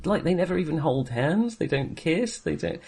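A man's voice: fairly high pitch, lively intonation, moderate pace, creaky, a little mellow, breathy edge. A man co-hosting a film podcast in casual discussion, hesitantly circling back and qualifying his points. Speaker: film review podcast co-host.

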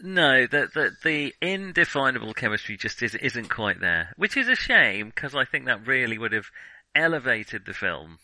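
A man's voice: mid-range voice, big pitch swings, normal speed, pushed and bright, low-quality recording. A man co-hosting a film podcast, chatting casually through a review in a hesitant, chuckling way as he thinks aloud.

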